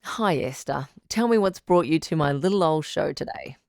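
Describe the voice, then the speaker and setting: A woman playing a calm, supportive radio advice doctor in an audio drama, speaking in a measured, articulate, professional counselling tone. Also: deep voice, creaky, no room sound, studio quality. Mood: welcoming, professional. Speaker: radio advice show host, sex therapist.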